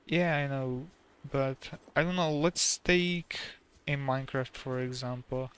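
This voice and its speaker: A soft-spoken man with a Slovak accent, a native Slovak speaker, gives calm, casual commentary while streaming a game. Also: medium pitch, unhurried, clear, resonant tone.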